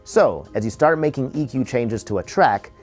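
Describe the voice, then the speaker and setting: A man narrates an instructional tutorial as its presenter, explaining things step by step. His mid-pitched voice moves with lively intonation. Faint music sits behind him. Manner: explanatory, measured step-by-step delivery.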